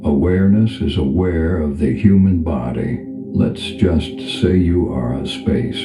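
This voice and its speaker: A man leading a guided meditation as a calm spiritual teacher, speaking in a gentle, unhurried, instructional manner. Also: very deep voice. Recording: echoey reverb over background music. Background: music.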